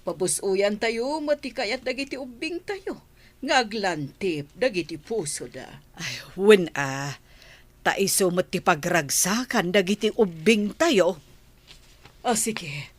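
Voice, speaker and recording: A woman acting an older grandmother who is arranging her grandson's wedding, speaking in a measured, earnest way in a radio comedy drama. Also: mid-range voice, expressive.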